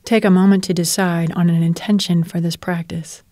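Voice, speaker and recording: A woman leading a guided relaxation as a meditation teacher, speaking in a calm, soothing and measured way. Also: fairly low pitch, dry recording. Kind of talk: guided relaxation narration, yoga nidra.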